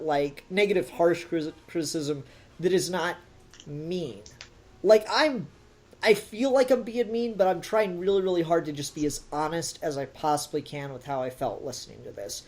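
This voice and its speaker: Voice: mid-range voice, normal speed, darkish tone. A podcast co-host giving a casual, blunt and emphatic critique in conversation. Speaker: podcast co-host reviewing an audio drama.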